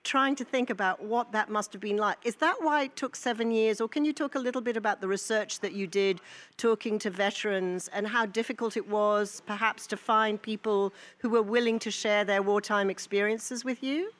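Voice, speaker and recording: A woman interviewer with a mid-range voice. She frames her questions in a measured, articulate way. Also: mid-range voice.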